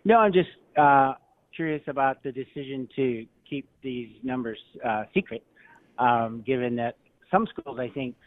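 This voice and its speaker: A man phoning in to a talk radio show, asking questions in a measured, hesitant way over the telephone. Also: mid-range voice. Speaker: phone-in caller. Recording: tinny narrow-band phone line.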